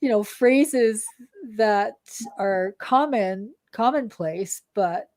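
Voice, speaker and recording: A woman's voice: mid-range voice, very slow, a little mellow, dry recording. An American woman interviewing someone in a casual, conversational way.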